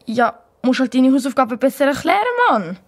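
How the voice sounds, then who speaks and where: A woman playing a sick, stubborn and cheeky schoolgirl in a children's radio play, with a husky voice and a Swiss accent. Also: high voice, fairly quick, dry recording. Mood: sulky.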